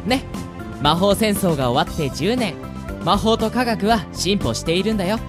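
Woman voicing young male mage, earnest younger brother, polite but chiding, animated intonation, radio drama with music underneath. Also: mid-range voice, normal speed, lightly acted. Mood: reasoning. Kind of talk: Japanese fantasy radio drama acting.

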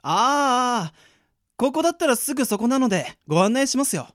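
A man's voice: very high-pitched, animated, moderate pace, a bit bright. A man playing an earnest young secret agent in a radio drama, with dramatic delivery and inner monologue. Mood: helpful. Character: earnest young male secret agent, newly trained, defiant.

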